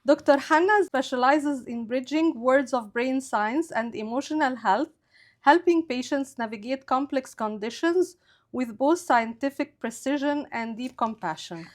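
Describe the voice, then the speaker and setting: A woman's voice: sits high, unhurried. A woman hosting a health podcast, reading a prepared introduction and asking interview questions in an upbeat, smiling way. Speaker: podcast host.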